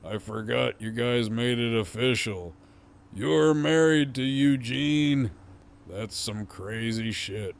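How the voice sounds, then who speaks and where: A man playing a gruff, sardonic former villain in an audio drama, talking slowly and profanely in a gravelly voice. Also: medium pitch. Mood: wry, impressed.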